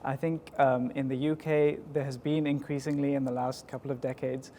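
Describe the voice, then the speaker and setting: A man in his twenties with a southern English accent, giving measured, articulate and polite interview answers in a formal way. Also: mid-range voice, flat delivery, mellow. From United Kingdom. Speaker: school executive, interview guest. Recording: echoey hall with background noise.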